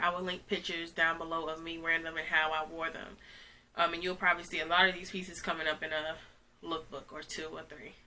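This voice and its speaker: Stuffy-nosed young woman chatting bright. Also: on the low side, slight background noise. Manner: chatty, rambling, uses "like" often. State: stuffy from a cold. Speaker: fashion vlogger. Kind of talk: casual try-on haul. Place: small bedroom, indoors.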